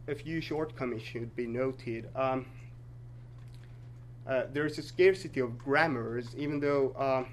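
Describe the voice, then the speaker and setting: A man whose first language is North Sami, giving an academic conference talk in a measured, hesitant way with frequent filler pauses. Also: medium pitch, a little hiss or hum. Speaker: conference presenter.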